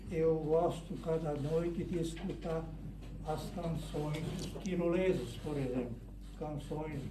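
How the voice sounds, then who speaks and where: An older man whose first language is German gives a reflective talk in a slow, halting way, often stopping to restart a phrase. His voice sits a little high for a man and reaches the mic from a distance. The room is echoey and there is steady noise behind him. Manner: halting, many restarts, searching for words. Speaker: speaker at a book event.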